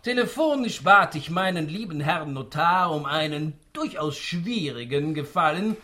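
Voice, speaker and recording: An actor playing a smug, spry old tycoon patriarch in a rhymed radio play, theatrical and savouring each line. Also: male voice, a little high, animated, a little slow, bright, forceful tone. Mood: sly, gleeful. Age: older.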